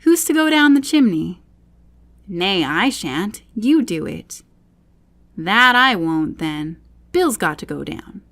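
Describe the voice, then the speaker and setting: A woman's voice: mid-range voice, sing-song, takes it slowly, a bit bright, exaggerated voice. A woman voicing bustling little animal workers in a storybook, calling out short, urgent instructions. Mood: bustling, bossy.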